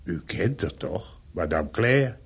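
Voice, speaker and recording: An actor playing a discreet, worldly-wise Paris hotel servant in a radio play, with a gravelly, husky voice and a deferential, dry, hesitant manner. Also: male voice, deep voice, big pitch swings, takes it slowly, full character voice. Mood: knowing, cagey.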